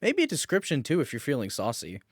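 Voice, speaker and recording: A man co-hosting a podcast in casual, teasing banter with a friend, speaking in an animated and sometimes profane way. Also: mid-range voice, fast talker, no room sound, studio quality. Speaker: podcast co-host.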